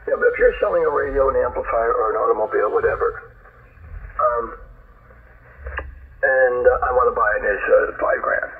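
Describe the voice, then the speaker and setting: A raspy-voiced man chatting casually as a ham radio operator over shortwave, with a blunt, questioning manner. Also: mid-range voice, brisk, pauses often, a little hiss or hum. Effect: shortwave radio transmission. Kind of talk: casual two-way radio chat. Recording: distant, muffled, lo-fi shortwave ham signal.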